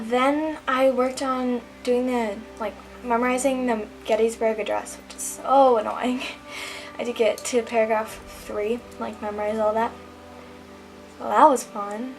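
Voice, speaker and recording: A woman's voice: fairly high pitch, fairly even intonation, a little slow, soft background music, poor audio. A teenage girl vlogging casually from home, chatty, with lots of ums and likes. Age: teens. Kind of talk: casual vlog diary.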